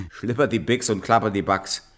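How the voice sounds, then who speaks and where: A man's voice: lowish voice, a bit bright. A man playing an impudent, mocking jester in a theatrical fairy-tale radio play. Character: cheeky, irreverent Kasper puppet-show jester, married man.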